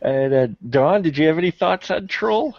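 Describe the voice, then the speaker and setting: Casting: male panel host, casual, moderately high pitch, lo-fi dry sound. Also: lively intonation, normal speed, creaky, dry recording.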